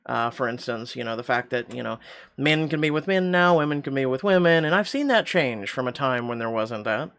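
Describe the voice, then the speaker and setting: A man's voice: mid-range voice, expressive, a little fast, gravelly, fairly bright tone, smooth. Middle-aged man, rambling and wry. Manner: rambling, many fillers, wry. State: throat slightly constricted. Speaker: game channel host. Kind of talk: reading a story game aloud with asides.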